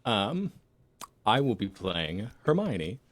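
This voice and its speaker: A man's voice: on the low side, big pitch swings, takes it slowly, dry recording. A man, a guest reader, chatting casually. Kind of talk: casual chat.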